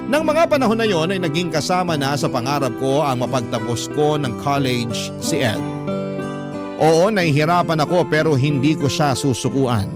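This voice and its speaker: A man's voice: a little high, animated, normal speed, close to an everyday voice. A man with a Filipino accent playing an earnest narrator of a first-person radio love story. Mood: earnest, reflective. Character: radio host reading a letter sender's love story, earnest. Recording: prominent guitar music under voice.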